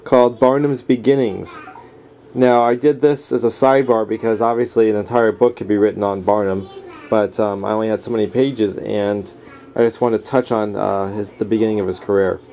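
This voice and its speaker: A man's voice: lowish voice, steady tone, brisk, mellow. A man reading a nonfiction book aloud in an informal, easygoing way, dropping in casual asides. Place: at home, household around. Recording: noisy home camera recording.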